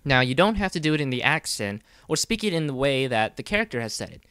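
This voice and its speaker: Man, middle-pitched, dry close sound, casual tutorial host giving audition instructions. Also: sing-song, fast talker, fairly bright tone, clear, resonant tone, no room sound. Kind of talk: casual scripted tutorial narration.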